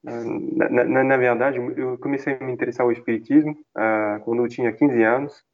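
A man with a French accent, talking in a language he learned as an adult. He is mid-pitched and halting, full of fillers and restarts. His voice sounds dull and lo-fi, as if recorded over a call. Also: steady tone. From France. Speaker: guest. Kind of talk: casual interview chat.

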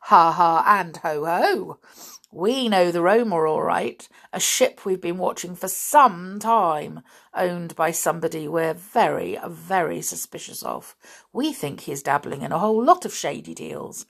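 Woman putting on a blustering, excitable police inspector. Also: on the low side, a little slow, creaky, heavily acted. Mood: satisfied. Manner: exclamatory, emphatic, folksy. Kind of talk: children's storybook character voice.

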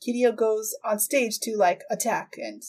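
A woman hosting a podcast, chatting casually in a halting, giggly way as she recaps a show. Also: fairly high pitch, a little mellow, slightly rough. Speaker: podcast host. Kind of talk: casual chat, recapping an anime.

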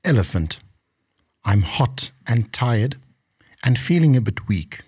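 Man voicing a husky, slow old African chief. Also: medium pitch, big pitch swings, darkish tone, dry recording, studio quality, heavily acted. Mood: weary, complaining. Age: older. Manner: slow, weary, stern. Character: tired, aggrieved old African chief with magic. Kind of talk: storybook character voice.

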